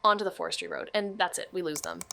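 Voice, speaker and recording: A woman's voice: fairly low pitch, fast talker, vocal fry, pushed and bright, slight background noise, exaggerated voice. A woman playing a level-headed, sardonic young amateur detective in an audio drama, speaking casually and matter-of-factly. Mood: focused, dry. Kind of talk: scripted audio drama dialogue.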